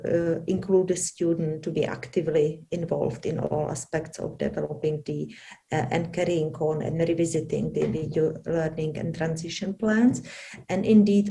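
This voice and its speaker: A woman with a Czech accent giving a panel presentation, halting often with fillers and restarts. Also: low-pitched, gravelly, dark tone. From Czech Republic. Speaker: academic panelist.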